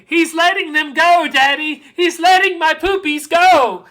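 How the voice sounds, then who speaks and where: A woman plays an earnest, excitable toddler girl in a stage monologue. The voice jumps up high and bright, with a sing-song childish lilt. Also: unhurried. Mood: delighted. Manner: sing-songy, pleading.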